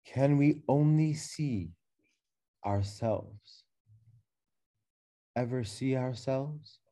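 Measured, mellow-voiced male lecturer. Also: mid-range voice. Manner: slow, measured and reflective, with pauses. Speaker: curator giving a keynote. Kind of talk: reading a prepared lecture text. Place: dark room indoors. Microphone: webcam on a video call.

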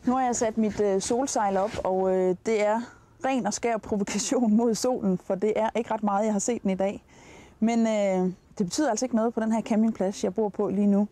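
A woman's voice: medium pitch, steady tone, warm tone. A Danish woman in her forties presenting practical tips to camera as a travel host. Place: outdoors at a campsite, in and beside a tent awning.

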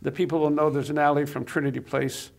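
A man's voice: fairly high pitch, level tone, quick pace, gravelly. An older man from Poland, Yiddish his first language, reminiscing in a studio interview, hesitant with many fillers and restarts, on a lapel mic. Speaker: interview guest. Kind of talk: reminiscing conversation. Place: TV studio set.

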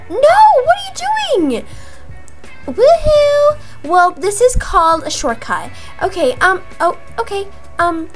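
High-pitched, animated young woman. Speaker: gaming video creator voicing roleplay characters. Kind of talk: improvised Roblox roleplay dialogue. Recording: music behind the voice. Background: music.

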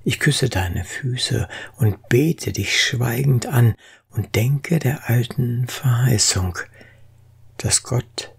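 A reader reciting poetry in a solemn, expressive way, with deliberate phrasing and a breathy, husky voice. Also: mid-range voice.

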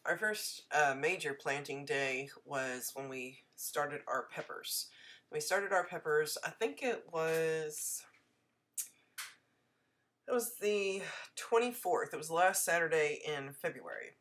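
A woman's voice: low voice, expressive, slow, a bit bright. A woman with a stuffy head cold narrating her homestead vlog in a chatty voice-over, full of ums. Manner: chatty, friendly, many ums. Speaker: homestead vlogger.

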